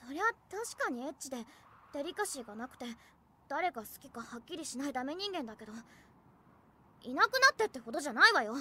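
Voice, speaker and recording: A woman's voice: high voice, big pitch swings, fast, pushed and bright, a little hiss or hum, full character voice. A woman playing a hot-tempered but devoted teenage catgirl in an anime audio drama, scolding and flustered. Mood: alarmed, scolding. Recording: music behind the voice.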